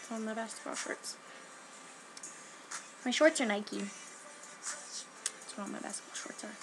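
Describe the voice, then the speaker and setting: A woman's voice: fairly high pitch, measured pace, fairly bright tone, faint music behind, low-quality recording. A teenage girl rambling hyperactively in a casual home webcam vlog, sniffly from a cold and jumping between topics. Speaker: vlogger.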